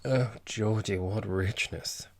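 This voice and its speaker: A man's voice: lowish voice, slow, no echo. Man voicing an exuberant, teasing woman singer. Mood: delighted. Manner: playful, exclamatory. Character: exuberant, teasing woman opera singer, warm-hearted and playful. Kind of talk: audiobook character voice.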